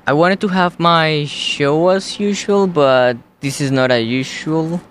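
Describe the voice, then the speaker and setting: A young man with a Colombian accent hosting a college radio show, talking in a slow, halting, casual way. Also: fairly high pitch, fairly bright tone, slight background noise. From United States. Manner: slow, unhurried, halting delivery.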